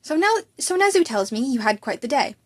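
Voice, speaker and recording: A woman's voice: sits high, big pitch swings, a little fast. Woman as a weary, stern male schoolteacher.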